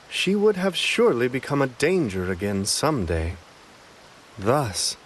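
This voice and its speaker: A man's voice: medium pitch, a little hiss or hum, poor audio. A man playing a serene, refined angel attendant, polite and precise, in an anime game dub.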